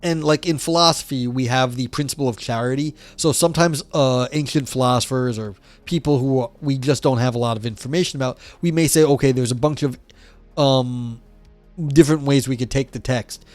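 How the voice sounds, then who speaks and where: A man with a hoarse, scratchy voice reads philosophy passages aloud on a livestream, then drops into relaxed, self-mocking commentary with the odd swear. He is recorded dry and close on a desk mic, with faint music playing underneath. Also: medium pitch, a little fast, no room sound. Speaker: streamer reading philosophy text. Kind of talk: casual livestream reading and commentary.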